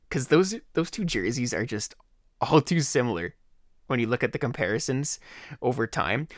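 A man hosting his own podcast, talking casually in a slightly hoarse voice, rambling with fillers. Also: mid-range voice, a bit bright, no room sound, clean studio sound. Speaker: podcast host. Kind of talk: casual solo podcast talk.

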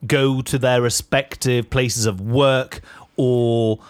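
A deadpan man trades dry quips in easy podcast banter. His voice sits in a middle pitch. He keeps his delivery flat and understated. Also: slow, clear, resonant tone. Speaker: podcast co-host. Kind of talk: casual comedic chat.

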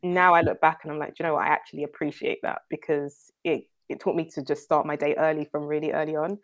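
A woman's voice: low voice, little pitch movement, quick pace. A young woman from North London with a London accent, chatting as a guest in an interview, laughing now and then and using "like" a lot. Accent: London English. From North London, England. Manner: chatty, laughs often, leans on "like" and "um". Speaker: guest entrepreneur. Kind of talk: casual interview talk.

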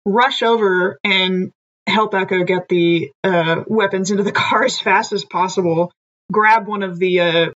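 A woman at a middle pitch plays her character in a tabletop game, hesitant and full of "uh" and "you know". Her voice comes through a dull, lo-fi microphone. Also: fairly even intonation, measured pace, fairly bright tone. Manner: hesitant, laughing, self-deprecating. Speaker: player.

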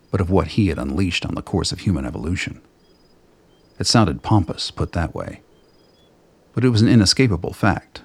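A man reading scripted dramatic narration for a radio play in a measured, literary storytelling manner, with a gravelly, husky voice. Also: extremely low pitch, a little mellow, no room sound.

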